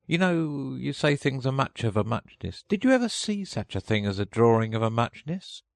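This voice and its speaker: A man's voice: mid-range voice, big pitch swings, moderate pace, creaky, no room sound, clean studio sound, voice pushed up. A man voicing a small, drowsy dormouse who sulks when interrupted. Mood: sleepy, sulky. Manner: drowsy, sulky, indignant. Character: sleepy, sulky little male dormouse.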